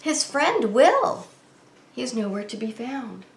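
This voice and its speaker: Female preschool story-time host, expressive and sing-song, addressing little kids directly, echoing room sound. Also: fairly high pitch, slow, vocal fry. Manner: animated, sing-song, asks and answers questions to young children. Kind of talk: children's read-aloud story time. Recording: roomy, echoing indoor sound.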